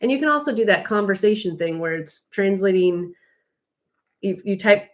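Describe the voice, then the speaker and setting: A woman's voice: mid-range voice, animated, moderate pace. Chatty American woman giving casual tips. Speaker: co-host of a live travel stream.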